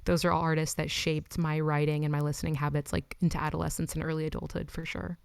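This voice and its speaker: A woman's voice: low voice, little pitch movement, quick pace, gravelly, smooth, no echo. A woman in her twenties, chatting casually in an interview with lots of "like" and "um". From Los Angeles. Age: 20s. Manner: chatty, frequent "like" and "um", laughs between thoughts. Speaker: musician guest.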